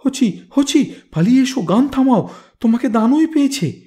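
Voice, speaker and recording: Frightened storybook servant, acted by a man: urgent, pleading, pitched well above natural speech. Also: animated, normal speed, warm tone. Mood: panicked urging. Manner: urgent, high-pitched calling.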